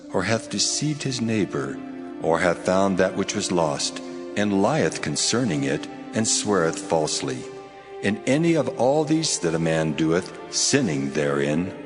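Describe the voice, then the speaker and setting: Man voicing God, deep with vast reverb. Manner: solemn, declamatory. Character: the Lord God, solemn commanding male divine voice. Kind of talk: dramatized scripture reading. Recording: long cavernous reverb, music behind.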